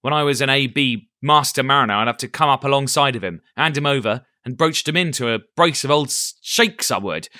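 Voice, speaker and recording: Brief: a man doing a cunning old one-legged pirate, loud and bright, salty sailor talk. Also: medium pitch, a little fast, few pauses, no echo, studio quality, lightly acted. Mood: jovial.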